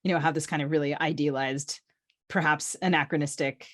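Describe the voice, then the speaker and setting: A woman's voice: sits low, steady tone, a little fast, a bit bright. An American woman co-hosting a casual conversation, speaking tentatively with many qualifiers. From United States. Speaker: podcast co-host.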